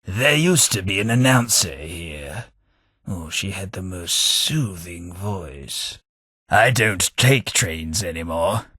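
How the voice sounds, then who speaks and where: A prim, sardonic companion. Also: male voice, deep voice, bright, forceful tone, no room sound, full character voice. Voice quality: dry, sardonic delivery. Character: prim, snobbish British male companion, dry wit. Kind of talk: video game companion lines.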